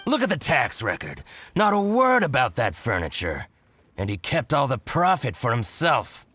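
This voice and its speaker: A man playing an envious, scheming shopkeeper in a video game, theatrical, excitable and given to grumbling and gloating. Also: medium pitch, normal speed.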